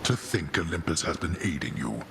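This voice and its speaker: An actor playing a stern, imperious god of the dead in a video game, speaking with scornful, deliberate command. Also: male voice, extremely low pitch, expressive, creaky, noisy background, heavily acted. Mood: cold, scornful. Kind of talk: dramatic video game acting.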